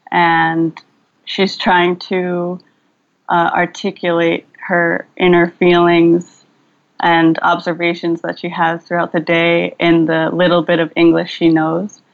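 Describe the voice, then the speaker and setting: Low-voiced young woman on a dull call line. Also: monotone, takes it slowly. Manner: thoughtful, searching for words with fillers and restarts. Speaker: filmmaker guest. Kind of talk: relaxed video-call interview. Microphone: video call.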